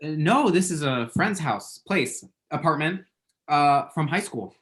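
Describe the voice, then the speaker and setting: Man acting awkward, halting young guy. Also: fairly high pitch, expressive, a bit bright, a little hiss or hum. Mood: flustered. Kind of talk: naturalistic romantic comedy table read.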